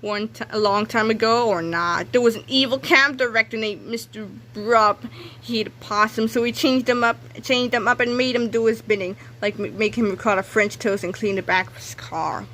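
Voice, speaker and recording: A woman's voice: a little high, moderate pace, a bit bright. A woman reading a children's comic book aloud expressively, sometimes stumbling over words. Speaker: read-aloud storyteller.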